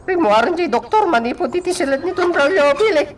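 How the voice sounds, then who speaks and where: A woman's voice: very high voice, expressive, fast, slight background noise. A woman with an Ilocano accent acting an agitated villager in a radio drama. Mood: agitated, anxious.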